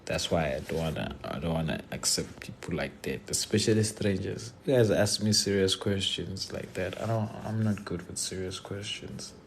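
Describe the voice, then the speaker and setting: A man's voice: sits low, moderate pace, gravelly, few pauses, slight background noise. A young South African man from Limpopo, chatting casually on a live stream, joking and mixing English with Zulu slang. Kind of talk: casual live stream chat answering viewers.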